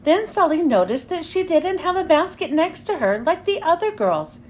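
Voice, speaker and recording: High-pitched, animated woman reading storybooks. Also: normal speed. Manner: animated, clearly enunciated storytelling. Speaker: children's read-aloud host. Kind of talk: expressive storybook narration for young children.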